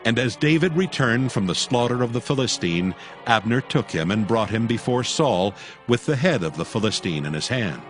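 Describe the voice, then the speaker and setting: Expressive male scripture narrator over music. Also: medium pitch, moderate pace. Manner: solemn storytelling.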